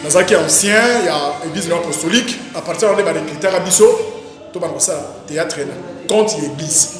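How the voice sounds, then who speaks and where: Male, Congolese accent, interviewee. Animated, repetitive casual storytelling, long reverberant room sound with clear music underneath. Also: on the high side, fairly quick. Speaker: stage actor being interviewed. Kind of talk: casual interview, recounting career.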